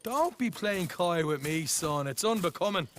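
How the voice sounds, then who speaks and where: Young Irish rascal for a Western game: brash, boisterous, needling, bright and high-pitched. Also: male voice, lively intonation, moderate pace, a little hiss or hum. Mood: indignant, needling. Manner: brash, teasing, talkative. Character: cocky, boisterous young Irish outlaw, mouthy and reckless. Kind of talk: video game cinematic acting.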